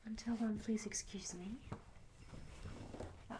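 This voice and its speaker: A woman's voice: fairly high pitch, fairly even intonation, takes it slowly, noisy background. Woman playing a whispery, curious medieval tavern maid. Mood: welcoming, attentive. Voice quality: soft, breathy, often whispered. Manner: gentle, polite, old-fashioned storybook phrasing. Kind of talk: soft-spoken ASMR roleplay. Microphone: close ASMR microphone.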